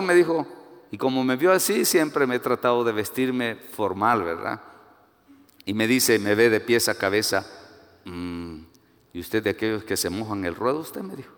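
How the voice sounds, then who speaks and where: Animated Guatemalan preacher in a hall. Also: male voice, mid-range voice. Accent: Guatemalan Spanish. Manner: animated, rises to emphatic peaks, acts out quoted voices. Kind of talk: sermon with personal anecdotes.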